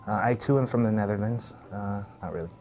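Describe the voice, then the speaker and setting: A man's voice: lowish voice, fast, a little mellow, soft background music. A middle-aged man from Texas with an American accent, giving casual interview answers as a physical therapist. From El Paso, Texas, USA.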